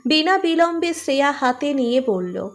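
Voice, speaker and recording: A woman's voice: sits high, animated, moderate pace. A woman reading a scripted romantic story as narrator.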